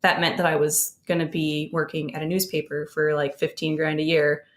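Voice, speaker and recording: A woman's voice: sits low, little pitch movement, a little fast. An American woman co-hosting a podcast in casual, conversational talk. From southern New Jersey, United States. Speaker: podcast co-host. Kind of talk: casual chat.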